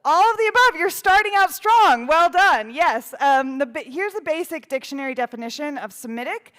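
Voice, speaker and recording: A woman's voice: high voice, expressive, normal speed, fairly bright tone. A woman priest in her thirties from the Houston area, giving a chatty storytelling talk with fillers and asides. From United States (Houston area). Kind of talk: storytelling talk with audience quiz.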